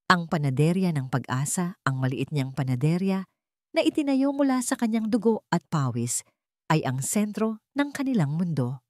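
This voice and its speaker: A woman's voice: lowish voice, animated, moderate pace, no echo, studio quality. A woman reading a scripted dramatic story as its narrator, in a solemn, melodramatic manner.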